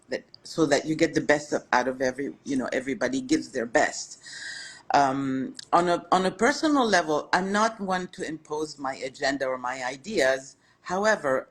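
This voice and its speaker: A woman's voice: deep voice, slightly rough. Older French-speaking woman, reflective. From Lebanon. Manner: warm-hearted, reflective, with many fillers and restarts. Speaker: guest, restaurateur. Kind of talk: podcast interview answers.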